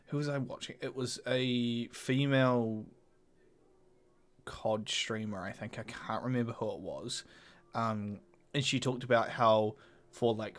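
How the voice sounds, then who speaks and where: A young man with a mid-range voice, talking casually on a podcast. He is animated and swears freely. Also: medium pitch. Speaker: podcast co-host. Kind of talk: casual chat.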